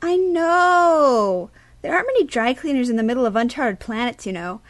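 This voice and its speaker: Bubbly, cheeky teen girl apprentice for sci-fi audio drama, acted by a young woman, high-pitched and very animated. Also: moderate pace, dry recording. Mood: perky. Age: teenager. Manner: perky, girlish, teasing. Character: bubbly, cheeky teenage girl Sith apprentice who loves pink. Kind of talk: science-fiction audio drama acting.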